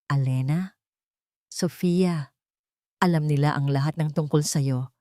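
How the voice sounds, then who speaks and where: A woman's voice: deep voice, slow pace, warm tone, dry recording. Lady doing a heartbroken young guy in a love drama, accusing and pained, dry close sound. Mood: pained, confused. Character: hurt, betrayed young man confronting his lover.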